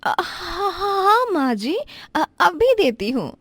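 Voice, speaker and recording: A woman's voice: extremely high pitch, sing-song, slow pace, fairly bright tone, no room sound, pitched above the performer's own voice, heavily acted. A woman voicing a rich, stingy young daughter-in-law, sweetly affected and condescending, in a moral story. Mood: falsely sweet.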